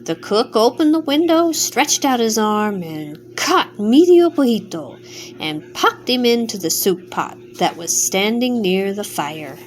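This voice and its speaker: An older woman, a grandmother, reading a children's bedtime story aloud in a gentle, expressive way. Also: mid-range voice, unhurried, slight background noise.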